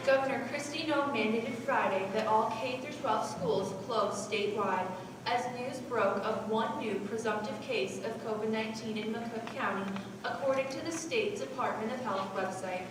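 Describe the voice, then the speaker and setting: A woman's voice: fairly high pitch, moderate pace, lightly acted. A woman playing a formal news anchor reading bulletins in a stage play. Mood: formal, serious. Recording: echoey hall from far off, noisy.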